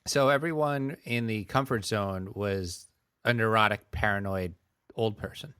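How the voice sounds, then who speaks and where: A man co-hosting a podcast in casual chat, answering with dry, deadpan quips. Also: lowish voice, unhurried, clear, resonant tone, no room sound. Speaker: podcast co-host.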